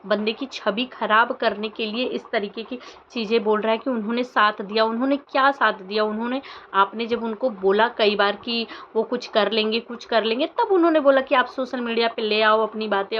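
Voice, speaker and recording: A woman's voice: fairly high pitch, brisk, lo-fi sound, slight background noise. A woman vlogger giving opinionated commentary to her viewers in an insistent way, with pointed rhetorical questions. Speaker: vlogger commenting on social media controversy.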